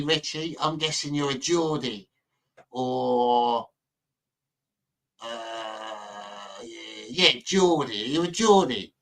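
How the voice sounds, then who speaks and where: A man with a bright, forceful voice, pitched a little high, speaks in a northern English accent mixed with London. He hosts a casual livestream chat, blunt and slangy, often repeating phrases as he answers viewers. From Manchester, northern England, later lived in London. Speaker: livestream host chatting with viewers. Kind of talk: casual chat, reading and answering live comments.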